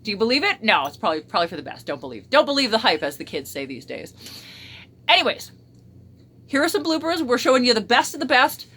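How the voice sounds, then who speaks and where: A woman's voice: a little high, very animated, quick pace, bright, forceful tone, slightly rough. A woman vlogger chatting casually in a joking, sarcastic way, swearing often, with a slightly crackly voice. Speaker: YouTube vlogger. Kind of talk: casual blooper vlog chat.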